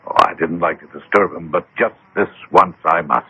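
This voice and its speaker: A man's voice: low voice, moderate pace, husky, exaggerated voice. An actor playing an earnest, trusting middle-aged American businessman in a radio drama. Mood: grateful, earnest. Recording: dull, band-limited old radio broadcast.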